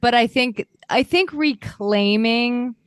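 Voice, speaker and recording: A woman's voice: on the high side, takes it slowly, clear, resonant tone, no room sound, studio quality. A middle-aged woman interviewed as a guest, talking in a chatty, reflective way, with fillers and frequent laughs. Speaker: guest, copywriter. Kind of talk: conversational interview.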